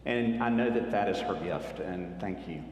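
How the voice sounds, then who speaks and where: A man's voice: low-pitched, normal speed, a little mellow, a little hiss or hum. A man, speaking to a church retreat group in a friendly, personal storytelling way. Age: 50s. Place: church hall. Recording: echoey hall, faint ambience.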